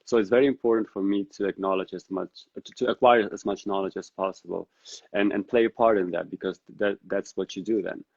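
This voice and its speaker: Flat-voiced man, thinking aloud. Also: fairly low pitch, brisk, vocal fry, breathy edge, dry recording. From Netherlands. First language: Dari. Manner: reflective and earnest, thinking aloud. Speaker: podcast guest. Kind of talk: casual interview conversation. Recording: dull lo-fi sound.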